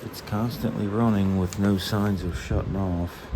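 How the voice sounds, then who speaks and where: A man's voice: lowish voice, fairly even intonation, a little slow, noisy background. A man working as an HVAC technician, talking through a casual work vlog with a stuffed-up, congested voice while thinking aloud. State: stuffed up, under the weather.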